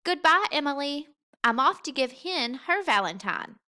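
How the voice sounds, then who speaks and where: A woman's voice: high voice, sing-song, normal speed, sharp tone, pitched above the performer's own voice, heavily acted. A woman voicing an eager, polite, curious young boy bear cub in a storybook, with an earnest, wide-eyed manner.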